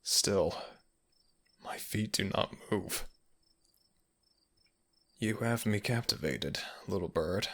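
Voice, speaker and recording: A man voicing a possessive, protective male fox spirit in an intimate ASMR audio roleplay, brooding and gravelly, with old-fashioned formal speech. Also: lowish voice, slow pace, long pauses, fairly bright tone. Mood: brooding, longing. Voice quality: gravelly, breathy at times.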